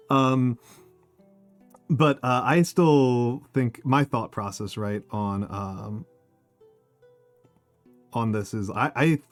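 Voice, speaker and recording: An American man chatting casually on a livestream, talking in halting bursts full of fillers and restarts. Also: on the low side, no room sound. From United States. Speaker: streamer. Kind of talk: casual chat.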